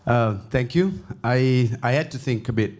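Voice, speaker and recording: A man's voice: medium pitch, normal speed, a little mellow. A man with an Italian accent answering panel questions on stage, hesitant and self-deprecating with many restarts. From Italy. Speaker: panel guest. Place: conference stage before an audience.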